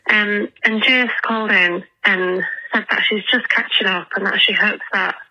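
An English woman with a croaky voice leaving a heartfelt, rambling voicemail of thanks over a phone line. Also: mid-range voice, steady tone, normal speed, sharp tone. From England. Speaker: voicemail caller.

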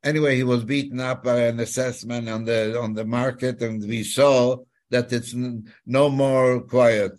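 A man in his nineties from Poland, with a Polish accent, giving personal testimony in a halting way, often repeating words and phrases. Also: medium pitch, fairly even intonation, a little mellow. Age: 90s. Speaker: guest, Holocaust survivor telling his story.

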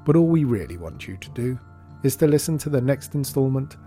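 A man's voice: mid-range voice, sing-song, a little fast. A man delivering a scripted credits announcement as a podcast announcer. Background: music.

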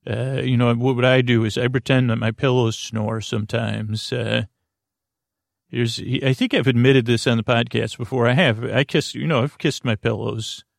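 A man hosts a bedtime podcast in a creaky, gravelly voice at an ordinary male pitch, recorded very dry and close. He meanders whimsically, stumbling over words and doubling back to correct himself as he rambles to help listeners sleep. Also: steady tone, no room sound. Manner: halting, meandering, with stammers and self-corrections; goofy, whimsical. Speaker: podcast host.

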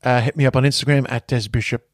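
A man's voice: medium pitch, steady tone, fast talker, no room sound, clean studio sound. A man in his forties with an American accent hosting a podcast in casual chat, rambling with frequent restarts. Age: 40s. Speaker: podcast host.

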